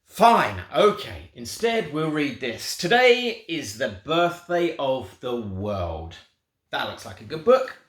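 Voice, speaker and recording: Guy reading a picture book to kids, lively up and down voice, roomy echo. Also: medium pitch, slow pace. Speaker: storybook reader. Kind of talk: expressive children's storybook reading. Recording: roomy, echoing sound.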